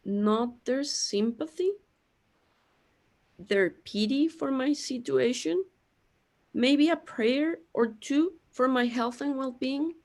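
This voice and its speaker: A woman playing a lonely, self-pitying immortal creator god in a scripted audio drama, speaking in a plaintive, deliberate way. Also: high voice, darkish tone.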